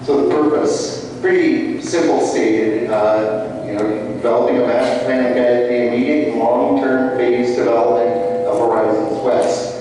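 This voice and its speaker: A man's voice: mid-range voice, steady tone, moderate pace, few pauses, music in the background. Man presenting in a big echoey hall. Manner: informal, chatty, with many fillers and restarts. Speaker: meeting presenter. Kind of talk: public meeting presentation. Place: large school meeting room. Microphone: handheld mic held at a distance. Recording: far-off echoey hall with mic feedback.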